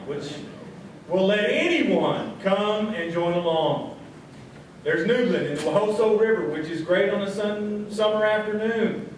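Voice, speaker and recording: A man hosting a live small-town radio variety show in a folksy style, talking to the folks. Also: high voice. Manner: folksy, addresses the audience as folks. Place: live show before an audience. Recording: echoey hall, distant mic, faint crowd noise.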